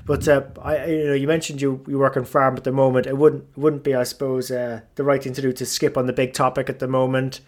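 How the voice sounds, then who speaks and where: A man's voice: mid-range voice, level tone, fairly quick, hardly any pauses. Podcast host guy, regular mid voice, friendly, bit of um and uh. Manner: friendly, with some fillers. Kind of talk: podcast intro and interviewing.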